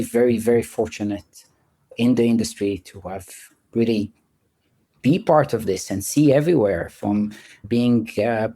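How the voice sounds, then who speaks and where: A man's voice: mid-range voice, dark tone. A man in his thirties, born in France and raised in Israel, answering interview questions directly, with many restarts and self-deprecating laughs. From born in France, grew up in Israel. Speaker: guest.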